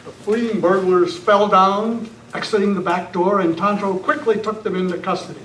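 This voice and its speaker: A man's voice: sits high, steady tone, moderate pace, fairly bright tone. A man reading scripted narration for an old-time radio western. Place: club meeting room, live presentation. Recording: echoey, noisy room, distant mic.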